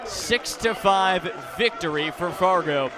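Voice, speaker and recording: High-pitched male baseball announcer over crowd. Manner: deliberate phrasing, swelling to shouts on plays. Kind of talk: live radio sports commentary. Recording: noisy outdoor ballpark with crowd. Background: ballpark crowd noise.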